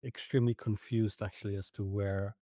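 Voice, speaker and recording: Guest voice: man in his 40s, low and mellow, thoughtful, halting, dry sound. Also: flat delivery, no room sound. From Nigeria, Ethiopia and the UK. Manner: reflective, with frequent restarts and fillers. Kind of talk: conversational interview.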